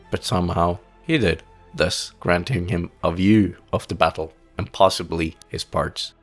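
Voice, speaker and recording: Casting: male narrator, very low voice, dramatic fantasy audio drama delivery over clear music. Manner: dramatic storytelling.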